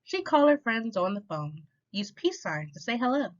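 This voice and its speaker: Expressive woman reading aloud, lively pitch. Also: normal speed. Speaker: storytime reader. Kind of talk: children's picture book read-aloud. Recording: dull lo-fi sound.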